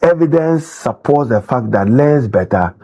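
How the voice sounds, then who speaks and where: A man with a Ghanaian English accent explains policy in a measured, deliberate way, pausing on fillers as he goes. His voice is dark and mellow, with a husky edge. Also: mid-range voice, animated. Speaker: education official. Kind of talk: interview answers.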